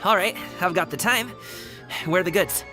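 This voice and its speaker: A man's voice: sits high, moderate pace, lightly acted, low-quality recording. An actor playing an easygoing young male escort worker in a video game, talking in a casual, slightly sheepish way. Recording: game audio with music behind.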